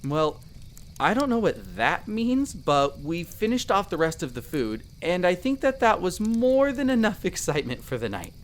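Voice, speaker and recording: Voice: mid-range voice, big pitch swings, moderate pace, close to an everyday voice. A performer playing a friendly, protective young elf explorer in a roleplay audio drama, chatty and reassuring, speaking to the listener. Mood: warm, reassuring.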